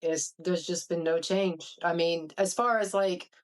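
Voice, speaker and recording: A guest speaks in a mid-pitched voice in a casual group conversation. The delivery is reflective and informal, with loose phrasing that circles back on itself. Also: fairly even intonation, a little slow. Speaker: podcast guest, returning hall member.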